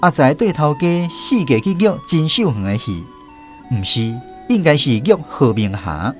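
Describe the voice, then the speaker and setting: Animated Taiwanese male storyteller. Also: on the high side, measured pace, soft background music. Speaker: narrator. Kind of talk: dramatic storytelling narration for radio drama.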